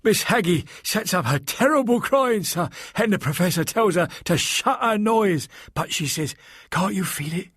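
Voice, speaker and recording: A man's voice: sits high, animated, normal speed, fairly bright tone, breathy edge, dry recording, studio quality, pitched above the performer's own voice, heavily acted. A man doing a terrified rustic woman servant. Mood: frightened. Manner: frantic, breathless, rustic grammar, calls listener sir. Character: terrified hulking working-class woman servant. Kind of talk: audiobook character voice.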